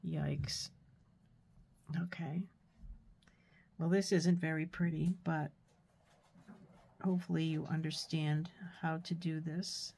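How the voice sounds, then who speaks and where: A woman with a stuffy nose from a cold, giving a relaxed, chatty painting tutorial with fillers and soft asides. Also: sits low, slow, creaky, pauses often, husky. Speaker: art tutor.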